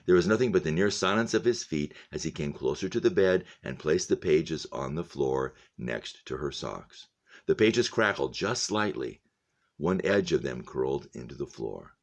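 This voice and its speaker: A man reading a novel aloud like a teacher to a class, deliberately and expressively, letting phrase endings drop to a hush. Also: low voice. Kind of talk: expressive audiobook-style reading with casual spoken commentary.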